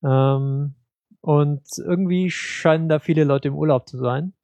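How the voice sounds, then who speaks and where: A man co-hosting a podcast, his voice gravelly and dark, set a little high. He speaks in a flat, even tone, hesitating and restarting often. The sound is dry and close. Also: measured pace, no room sound. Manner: hesitant, many fillers and restarts. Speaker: podcast co-host. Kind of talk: casual podcast chat.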